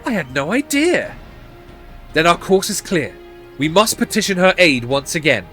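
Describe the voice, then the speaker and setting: A man's voice: a little high, very animated, pushed and bright, soft background music. A man voicing a composed, learned mage from a fantasy game, speaking in solemn, courteous, archaic phrases. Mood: composed, formal. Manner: archaic, formal, measured phrasing.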